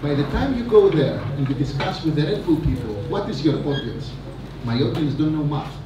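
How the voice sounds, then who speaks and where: A man giving a rambling, energetic conference talk on stage, full of fillers and asides. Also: a little high, a little fast. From Greece. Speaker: conference speaker. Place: large conference hall. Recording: echoey hall, mic from a distance, noisy.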